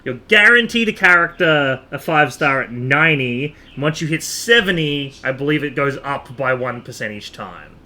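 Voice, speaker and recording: A man's voice: medium pitch, expressive, normal speed, hardly any pauses, sharp tone. A man streaming a game in casual chat, rambling and thinking aloud with the odd casual swear, over noticeable background noise. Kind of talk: casual live stream chat while gaming.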